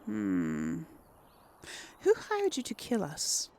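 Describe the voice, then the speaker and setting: A woman plays through a role-playing game and comments casually. Her speech is slow and hesitant, with a lot of vocal fry and animated swings in intonation. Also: low-pitched, slight background noise. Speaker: gaming video host.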